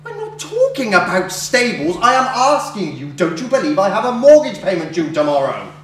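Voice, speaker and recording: Blustering high-voiced bill collector, acted by a man, echoey. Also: big pitch swings, normal speed, fairly bright tone, a little hiss or hum. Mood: angry, insistent. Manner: blustering, ranting, mockingly theatrical. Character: boorish, hot-tempered male bill collector, scornful of women. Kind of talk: theatrical stage comedy acting. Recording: very echoey room, distant mic.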